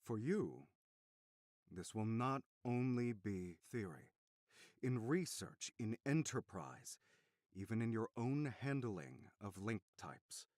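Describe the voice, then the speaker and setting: A man playing a composed, severe lecturer in a visual novel, speaking with controlled, deliberate formal oratory. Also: lowish voice, no echo, clean studio sound. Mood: stern. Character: composed male lecturer, measured and severe about ethics.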